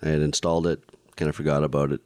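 A man's voice: very deep voice, little pitch movement, racing, a little mellow, dry recording, studio quality. A laid-back man co-hosting a casual podcast, laughing easily. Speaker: podcast co-host.

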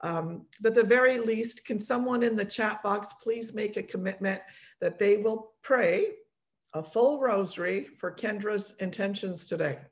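A woman's voice: medium pitch, measured pace. A middle-aged woman from Poland hosting a casual podcast chat over a video call, chatty, laughing often and restarting her sentences. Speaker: podcast host.

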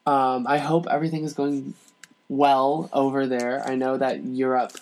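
A man's voice: mid-range voice, level tone, normal speed, rough recording. A man speaking as a podcast guest, chatting casually and reading a listener's letter aloud.

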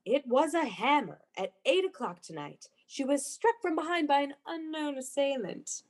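A high, very animated voice from an actress plays an unhinged young woman in a classic radio melodrama. She is breathless, intense and theatrical, convinced of a dark power inside her. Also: moderate pace. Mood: haunted, dread. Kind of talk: melodramatic old-time radio play acting.

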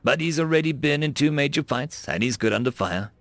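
Man as an easygoing, creaky-voiced Marine squadmate. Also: fairly high pitch, expressive, fairly quick, a bit bright, dry recording, soft background music, pitched above the performer's own voice. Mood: wry, friendly. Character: easygoing male Marine squadmate making introductions, wry.

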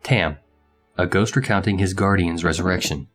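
Male narrator for title cards: bass register, scripted announcement, faint musical bed. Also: moderate pace, fairly bright tone, faint music behind. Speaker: story narrator.

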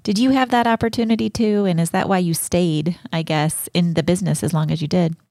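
A woman host leading a conversational interview, her voice a touch low and recorded very dry, with no room sound at all. She asks open questions and backs her guest up with brief, encouraging replies. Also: fairly even intonation, a little fast, creaky, darkish tone, dry recording.

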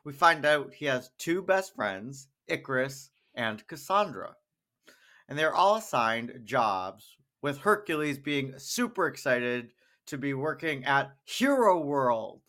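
A man's voice: medium pitch, sing-song, takes it slowly, bright, forceful tone. A man co-hosting a podcast, recapping a story in a wry way with theatrical emphasis on the punchlines. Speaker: podcast co-host. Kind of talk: animated episode recap with co-host.

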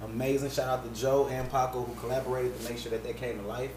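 A man's voice: medium pitch, quick pace. Hype podcast guy, swears a lot, sounds far off the mic w/ a bit of room echo and hum. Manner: loud, excitable, swears freely. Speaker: podcast co-host. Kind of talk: casual chat.

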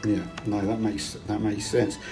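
A man interviewing a guest, reading out questions in a relaxed, somewhat hesitant way and often repeating his words. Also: on the low side, unhurried, soft background music.

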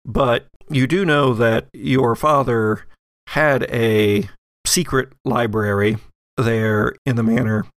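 Guy game mastering a horror rpg, slow and creaky voice, really dry close sound. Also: mid-range voice, no room sound. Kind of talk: improvised narration of a horror tabletop game.

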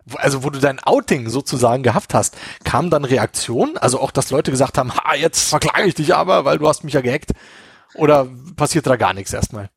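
Fast-talking husky male host. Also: medium pitch, lively intonation, no room sound, studio quality. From Berlin. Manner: chatty, joking, quick. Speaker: interview host. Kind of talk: casual interview chat.

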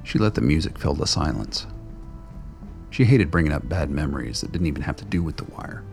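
A man's voice: very deep voice, animated, fairly quick, creaky, darkish tone, faint music behind. A man reading scripted dramatic narration as the narrator of a radio play.

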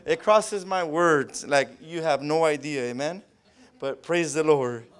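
A man with a Spanish accent preaching a sermon, tacking an amen onto nearly every phrase and rising into loud fervent bursts. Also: fairly high pitch, a little slow, clear, resonant tone. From Southern California. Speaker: pastor.

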